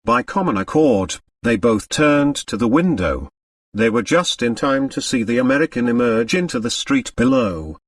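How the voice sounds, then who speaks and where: A man narrating a story as an audiobook reader, in a measured, deliberate, dramatic scripted style. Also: mid-range voice, animated, no room sound, studio quality, distant mic.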